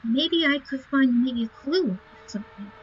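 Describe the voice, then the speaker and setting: A woman's voice: sits high, lively intonation, moderate pace, slight background noise. A woman giving casual commentary as she plays a game, thinking aloud in short, musing remarks. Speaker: gamer recording a playthrough. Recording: muffled lo-fi game capture. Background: game music.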